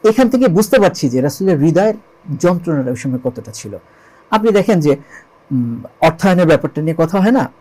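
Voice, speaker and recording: High-pitched Bangladeshi man telling history emphatically. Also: sing-song, fairly quick, pauses often, mellow, poor audio. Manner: emphatic, repeats key phrases and poses rhetorical questions. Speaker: interview guest, lyricist and composer. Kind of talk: animated storytelling, explanatory religious history talk.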